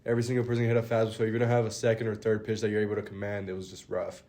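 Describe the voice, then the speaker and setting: Low, flat-voiced young man. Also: rapid-fire. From Dominican Republic. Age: young adult. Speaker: college baseball pitcher, guest. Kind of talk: casual interview answers.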